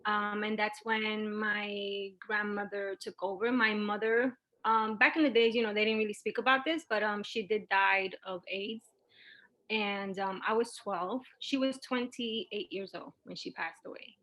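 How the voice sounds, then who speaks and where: Bright-voiced New woman, candid and informal. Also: mid-range voice, steady tone, a little slow, poor audio. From Puerto Rico and Brooklyn, New York. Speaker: teacher, interview guest. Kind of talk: personal story told in an interview.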